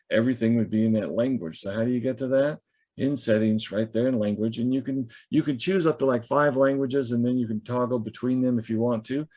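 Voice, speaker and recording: A man teaching staff a software tool over a video call, in a relaxed, chatty way with many fillers as he thinks aloud. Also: medium pitch. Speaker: instructor. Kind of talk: screen-share software training. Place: video call from a home or office. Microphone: computer or headset mic on a video call.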